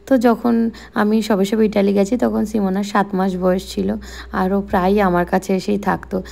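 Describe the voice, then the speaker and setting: A woman's voice: mid-range voice, level tone, moderate pace, vocal fry, mellow. A young woman with a West Bengali accent narrating a casual daily vlog in a chatty, explanatory way. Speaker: vlogger.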